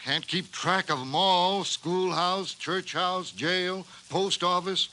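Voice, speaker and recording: An actor in a 1950s radio drama playing a simple, plain-spoken small-town painter made police chief, talking in folksy clipped sentences. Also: male voice, high voice, takes it slowly, heavily acted, poor audio. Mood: put-upon. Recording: hissy, bright 1950s radio recording.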